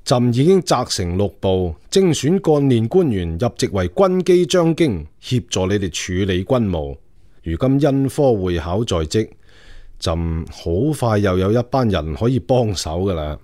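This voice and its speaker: An actor playing a commanding Qing emperor in a radio drama, speaking in an imperious, measured manner. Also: male voice, lowish voice, sing-song, warm tone, dry recording, clean studio sound. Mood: deliberate, imperious. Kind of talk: Cantonese radio drama acting.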